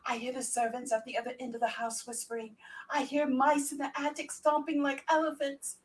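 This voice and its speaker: Woman playing a high-voiced, melodramatic young Victorian bride. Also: little pitch movement, a little fast, close to an everyday voice. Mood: frightened, pleading.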